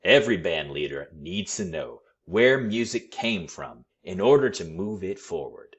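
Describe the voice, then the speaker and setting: Man playing a booming, hearty gentle giant musician. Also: animated, a little slow, dull audio, exaggerated voice. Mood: encouraging. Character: giant sweet-natured male tuba player, booming, encouraging mentor. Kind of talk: storybook character voice.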